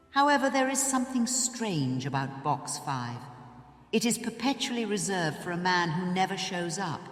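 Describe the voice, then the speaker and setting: An actor voicing a dutiful, wary box keeper at an opera house, narrating in an earnest, measured way. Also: mid-range voice, a bit bright, close to an everyday voice. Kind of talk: audio drama monologue. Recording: echoey hall reverb with faint music.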